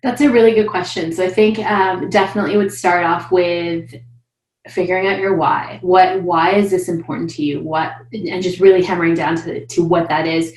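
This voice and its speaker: A woman in her thirties, a coaching client, talking in an animated, upbeat way over a video call from a room at home. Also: fairly low pitch, normal speed, echoey room, low-quality recording. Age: 30s. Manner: animated, upbeat, says she is loud. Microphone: video call webcam.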